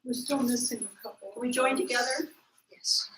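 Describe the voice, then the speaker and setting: A woman's voice: a little high, moderate pace, fairly bright tone, slight background noise, rough recording. A woman speaking casually to a group as a workshop facilitator.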